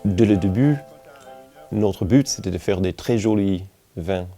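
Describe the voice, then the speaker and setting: Man in his forties, American accent, unhurried, dark soft tone, halting interview delivery. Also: low voice, faint music behind. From United States, Kentucky. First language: English. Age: 40s. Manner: hesitant, halting, searching for words. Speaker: winemaker. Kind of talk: relaxed documentary interview, telling life story.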